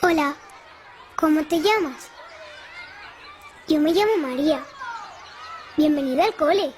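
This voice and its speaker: A woman's voice: sits high, expressive, a little slow, a faint crowd, slight background noise. A woman playing a chatty, imaginative, kind-hearted little schoolgirl in a cartoon, with a Castilian Spanish accent and an eager, playful manner. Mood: curious, friendly. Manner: playful, bubbly, talking in eager questions. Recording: loud music behind the voice.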